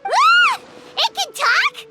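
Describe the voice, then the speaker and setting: A woman voicing a small, excitable, childlike fairy companion with a squeaky voice in a video game. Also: drawn-out words, loud music behind the voice, heavily acted. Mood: startled. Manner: speaks of self in third person, exclamatory.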